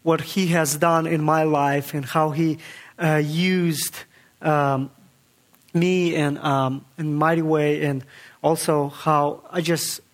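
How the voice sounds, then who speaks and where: A young man from Kazakhstan, giving a halting, earnest church testimony with many fillers and restarts. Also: fairly high pitch, little pitch movement. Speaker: guest speaker giving testimony.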